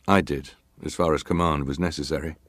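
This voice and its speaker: A man's voice: rumbling low, steady tone, a little fast, fairly bright tone, no room sound, clean studio sound. An actor playing a stoic ship's officer giving testimony at a formal hearing, clipped and matter-of-fact in his answers. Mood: stoic, terse. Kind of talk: dramatized reading of hearing testimony.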